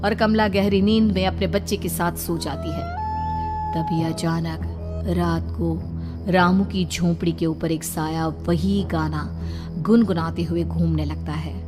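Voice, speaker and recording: A woman tells a horror story at an unhurried pace. Her narration is dramatic and suspenseful, with clear background music. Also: fairly low pitch, expressive.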